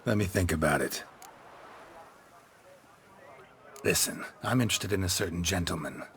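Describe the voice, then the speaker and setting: Super deep gravelly monster hunter from a fantasy game, hushed n deadpan, bit of background noise. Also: male voice, quick pace. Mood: dry, unruffled. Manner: deadpan, clipped sentences. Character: gruff, laconic male monster-hunting mercenary, world-weary and unflappable. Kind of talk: video game cutscene acting.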